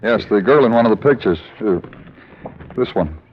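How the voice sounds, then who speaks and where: A man's voice: lowish voice, expressive, moderate pace, breathy edge. A man playing a matter-of-fact police sergeant in a 1950s radio drama. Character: plain-spoken police sergeant. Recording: dull old radio broadcast.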